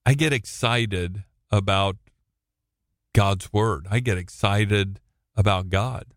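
A middle-aged American man with a booming voice, teaching from the Bible as a preacher in a halting, deliberate way with long pauses. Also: dry recording, studio quality. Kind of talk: Bible reading and informal teaching with personal anecdotes. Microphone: close studio-style microphone at a computer.

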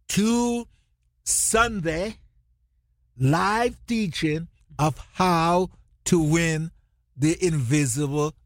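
A man's voice: on the high side, no room sound, clean studio sound. Emphatic Jamaican-accented male pastor. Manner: emphatic, rhetorical questions, drawn-out stresses, laughs easily. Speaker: pastor and radio co-host. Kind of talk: preaching on a radio ministry show.

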